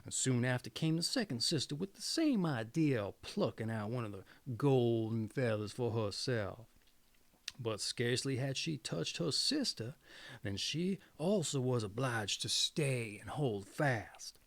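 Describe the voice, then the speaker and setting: Creaky, animated male fairy-tale storyteller. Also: mid-range voice, a little slow, no echo. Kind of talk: fairy tale narration, read aloud.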